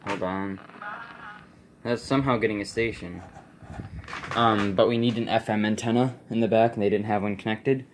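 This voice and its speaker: A man giving a casual, unscripted demonstration, halting and offhand as he thinks aloud. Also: lowish voice, steady tone, a little hiss or hum, poor audio. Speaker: video creator demonstrating a vintage radio.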